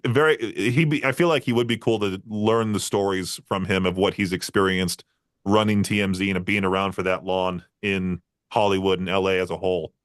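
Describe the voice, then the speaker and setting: A man's voice: low voice, steady tone, normal speed, no room sound, clean studio sound. A man hosting a podcast, telling long stories in a casual, rambling way with lots of fillers. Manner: rambling storyteller, frequent fillers and laughs. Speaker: podcast host. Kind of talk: casual interview chat.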